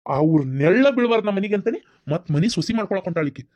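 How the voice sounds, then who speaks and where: A man's voice: high voice, expressive, racing, darkish tone. A man voicing a stern, proud grandfather in a cartoon family drama, scolding and dismissive, with a North Karnataka accent. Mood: stern, bitter. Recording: dull, muffled lo-fi sound.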